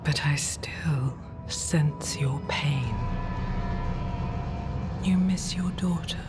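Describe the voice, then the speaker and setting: A woman playing a commanding demon mother in a fantasy game, with a breathy, half-whispered voice and a measured, seductive, imperious manner. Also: deep voice. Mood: commanding, knowing. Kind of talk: dramatic fantasy game cutscene acting. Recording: loud cinematic music behind.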